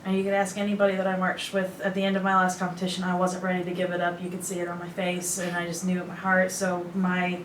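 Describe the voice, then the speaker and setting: A woman's voice: fairly low pitch, monotone, quick pace, hardly any pauses, reverberant, slight background noise, rough recording. A young woman in her early twenties from Illinois, answering interview questions in a chatty, earnest way. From Illinois, USA. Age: early 20s. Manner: chatty, earnest, laughs easily. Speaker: student guest.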